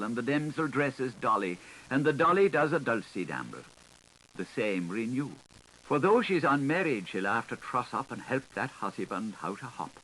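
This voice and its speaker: A man's voice: medium pitch, fairly bright tone, low-quality recording. A man narrating a literary audiobook in a theatrical, lilting, singsong manner. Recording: hissy 1980s audiobook recording.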